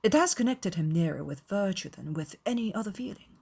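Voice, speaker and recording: A woman voicing an aggrieved young gentleman in a dramatized audiobook, confiding and self-justifying, with measured, earnest, formal delivery. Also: mid-range voice, expressive, gravelly, breathy edge. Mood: measured, explaining.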